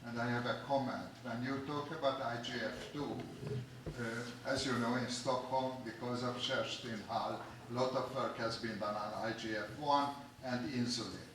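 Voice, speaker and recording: A man's voice: mid-range voice, steady tone, moderate pace. A man with a Hungarian accent asking a long, detailed technical question from the audience at a conference. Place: large conference hall. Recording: echoey hall, distant mic, noisy.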